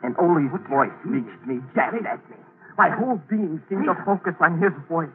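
A man's voice: a little high, lively intonation, normal speed, close to an everyday voice. An actor playing a haunted man who narrates his own grim confession in a 1940s radio drama. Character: haunted colorblind man recounting a killing. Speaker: narrator and protagonist. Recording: tinny old radio broadcast.

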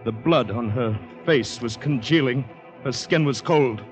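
An actor playing a distraught, haunted man in a 1940s radio drama, telling his story in breathless, halting dramatic phrases. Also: medium pitch, music in the background, lightly acted. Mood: shaken. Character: distraught haunted man recounting finding a murdered woman. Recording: muffled old radio broadcast recording.

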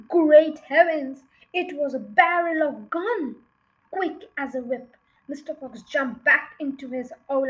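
A woman's voice: high voice, poor audio. A woman reading a children's storybook aloud as an audiobook narrator. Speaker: audiobook reader.

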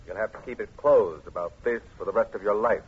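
An actor playing a cool, scheming crook, terse and probing, in an old radio drama. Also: male voice, on the low side, fast, natural voice. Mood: sly, scheming. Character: cool, scheming male crook, sly and controlling. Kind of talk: 1950s radio drama acting. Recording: muffled, hissy old radio recording.

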